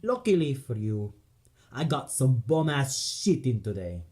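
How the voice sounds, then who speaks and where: A man's voice: medium pitch, very animated, measured pace, darkish tone. A man voicing a cocky, foul-mouthed little Puerto Rican drug pusher with brash, slangy talk, in an audio play. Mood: cocky, needling.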